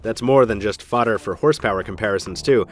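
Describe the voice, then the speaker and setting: Lower-pitched man giving a wry tech review. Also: fast talker, soft background music. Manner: wry, emphatic, dry asides. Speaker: tech reviewer, show host. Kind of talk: scripted product review narration.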